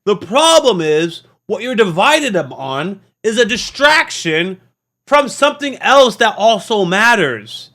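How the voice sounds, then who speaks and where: A man's voice: sits high, big pitch swings, takes it slowly, pushed and bright. A man hosting a reaction video, talking in a preachy, earnest way, often restarting and stammering. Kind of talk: casual rambling monologue.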